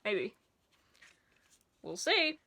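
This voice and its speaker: A woman who is losing her voice hosts a casual song review to camera, gushing and excitable, often restarting her sentences. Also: medium pitch, drawn-out words, creaky, husky. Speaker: music reviewer and video host.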